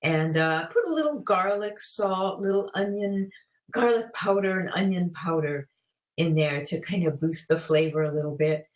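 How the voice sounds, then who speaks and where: A woman's voice: fairly low pitch, normal speed, roomy sound, muffled recording. A woman in her late seventies, a guest chatting casually about cooking, with many fillers and restarts. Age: 70s. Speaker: guest, vegan cookbook author and cooking teacher. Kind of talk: casual interview chat.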